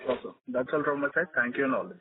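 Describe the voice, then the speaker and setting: A man's voice: medium pitch, expressive, rapid-fire. Analyst man calling in, polite questioner, noisy tinny phone line. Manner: polite, addresses executives as sir. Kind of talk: earnings call questions.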